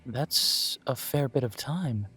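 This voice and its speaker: A man voicing a courteous elven coffee shop owner who speaks in a polite, formal, gently self-deprecating way, with soft music playing behind him. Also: mid-range voice, slow pace. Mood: gracious. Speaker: shop owner interviewing a job applicant. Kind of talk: scripted one-sided roleplay audio.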